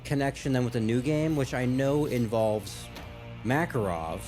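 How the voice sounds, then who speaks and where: A man gives casual reaction commentary in a medium-pitched voice. He muses and rambles in loose asides as a video host, with game music clearly audible underneath. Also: normal speed, a little mellow.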